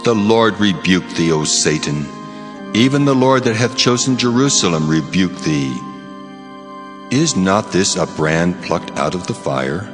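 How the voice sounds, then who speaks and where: A man's voice: low voice, animated, a little slow. A man playing a stern, authoritative divine Lord, declaiming solemnly in a dramatized scripture reading. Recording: long reverb over faint music. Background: faint music.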